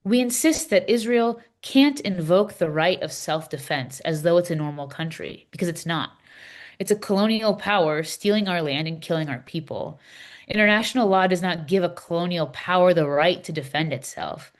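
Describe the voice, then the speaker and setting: A woman performs an impassioned Palestinian woman in a scripted readers theater dialogue. Her voice sits a little low for a woman. She makes her case earnestly, in emphatic, declarative statements. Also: normal speed, lightly acted. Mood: earnest, indignant. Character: impassioned Palestinian woman explaining a church document.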